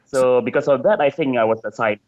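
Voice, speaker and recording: A man's voice: medium pitch, brisk, a little mellow. Dull-sounding male game designer in interview. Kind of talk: casual interview talk.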